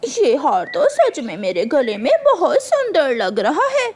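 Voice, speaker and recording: A woman's voice: wildly swooping pitch, unhurried, slight background noise, exaggerated voice. A woman voicing a greedy, ageing female crow in a melodramatic, whiny cartoon manner. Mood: greedy delight. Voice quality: tearful, high-pitched.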